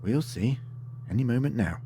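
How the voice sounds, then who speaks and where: A man's voice: mid-range voice, lively intonation, normal speed, warm tone, natural voice. A man acting in an audio drama as a resolute, improvising time-travelling hero, by turns hesitant and decisive. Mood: tense, resolute. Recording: clear background noise.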